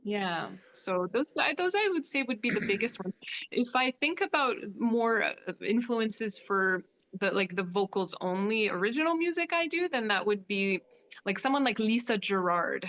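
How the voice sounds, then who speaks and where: A woman's voice: a little high, expressive, moderate pace, a bit bright. A woman from Poland, a classical singer, talking casually as an interview guest with frequent "like" fillers. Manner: chatty, many "like" fillers.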